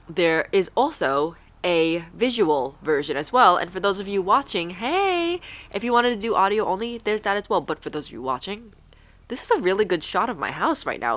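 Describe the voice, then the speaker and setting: A woman's voice: lowish voice, fairly bright tone. A woman hosting her own podcast from home, chatting casually and rambling, with a stuffy, nasal voice. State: stuffy nose. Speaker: podcast host. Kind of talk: casual chat.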